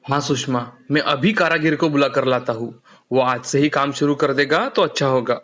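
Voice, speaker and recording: A man's voice: medium pitch, normal speed, a bit bright, lightly acted. A man playing a friendly, hardworking father who drives a rickshaw in a storybook cartoon. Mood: earnest. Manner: polite, earnest.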